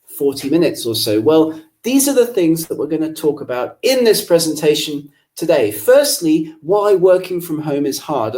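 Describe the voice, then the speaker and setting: A man from England whose English accent carries a faint Irish lilt, talking through a webinar from his home office. His voice is mellow and rather dark, and he addresses listeners directly in a friendly, explanatory manner. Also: fairly high pitch. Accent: English with a slight Irish lilt. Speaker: webinar presenter. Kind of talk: live webinar presentation.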